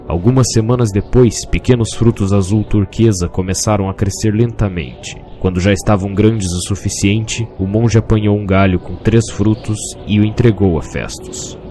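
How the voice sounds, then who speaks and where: A man's voice: lowish voice, steady tone, normal speed, few pauses, mellow, faint music behind. A man with a Brazilian accent narrating a scripted fantasy story.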